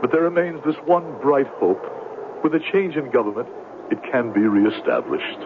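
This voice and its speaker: A man acts out a pious, smooth-talking conman posing as a missionary in a radio play. His delivery is solemn and swoops widely in pitch, with theatrical hesitations. It comes through a thin, noisy old broadcast. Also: expressive, normal speed, phone-quality sound, natural voice. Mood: solemn, sorrowful. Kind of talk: 1970s radio drama acting.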